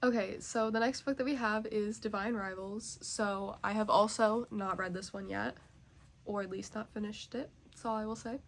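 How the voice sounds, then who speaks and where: A woman's voice: medium pitch, fairly even intonation, normal speed, gravelly. A young woman chatting casually as a book vlogger, nasally congested and rambling with many fillers. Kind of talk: casual chat.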